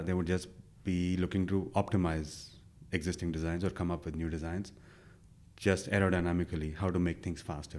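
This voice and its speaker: A man's voice: deep voice, darkish tone. A young man from India, a guest in an interview, telling his story casually with many fillers and self-quotes.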